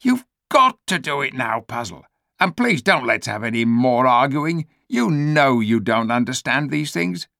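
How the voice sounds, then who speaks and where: Man voicing a scheming male ape, high and wheedling, swooping pitch, gravelly edge, storybook. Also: big pitch swings, measured pace, bright, forceful tone, no room sound, studio quality, heavily acted. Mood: insistent. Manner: whiny, persuasive, self-pitying. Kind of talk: storybook read-aloud.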